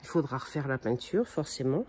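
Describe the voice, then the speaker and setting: A woman's voice: extremely low pitch, animated, a little fast, background noise. A middle-aged woman giving a casual, chatty house tour in an informal way, talking as she moves from room to room. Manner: informal, chatty, talks to her pet in passing. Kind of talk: casual home-tour chat to camera. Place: indoors, walking through house rooms.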